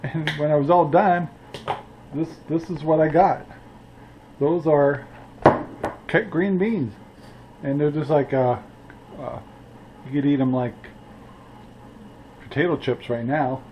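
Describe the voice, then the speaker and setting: Older guy chatting folksy about cooking, slightly high voice, muffled action-cam sound with noise outside. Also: takes it slowly, long pauses. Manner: folksy, rambling, many "uh"s, chuckles. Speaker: cooking channel host. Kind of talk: casual cooking vlog. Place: outdoor yard beside a grill, and indoors in a cluttered room.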